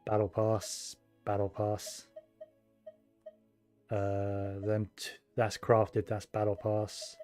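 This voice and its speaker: A man chatting casually on a game livestream, rambling and thinking aloud in a low-key way. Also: completely flat delivery, takes it slowly, dark tone. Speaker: game streamer. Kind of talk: casual livestream chat over gameplay. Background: game music.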